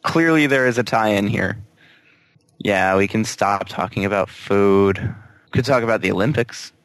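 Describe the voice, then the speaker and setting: A man's voice: lowish voice, unhurried, a bit bright, no echo. Teasing man in casual podcast banter. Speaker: podcast co-host. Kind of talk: casual chat.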